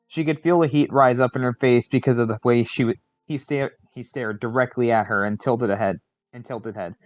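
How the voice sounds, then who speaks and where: A man's voice: medium pitch, steady tone, fairly quick, soft background music. A man doing a casual amateur reading of a story, repeating and correcting misread words as he goes. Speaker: reader of fan fiction on a livestream.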